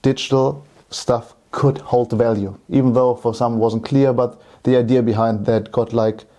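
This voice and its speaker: A man's voice: medium pitch, little pitch movement, normal speed, dark tone. A man in his thirties with a German accent, telling his story casually as an interview guest. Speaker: podcast guest, entrepreneur.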